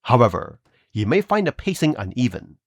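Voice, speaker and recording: A man's voice: mid-range voice, sing-song, normal speed, no room sound, dull audio. A man narrating scripted video game reviews as a reviewer, with a polished, promotional delivery.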